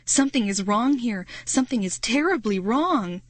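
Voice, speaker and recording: A woman's voice: on the high side, lively intonation, moderate pace. A woman voicing a frightened young boy who pleads and screams in desperation. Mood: terrified.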